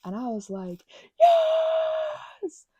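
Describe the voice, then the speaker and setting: A woman's voice: very high-pitched, very slow, no room sound. A young woman chatting casually while she crafts, rambling with sarcastic asides, recorded close on a microphone. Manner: rambling, animated, with mocking put-on voices and sarcasm. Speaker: ASMR channel host.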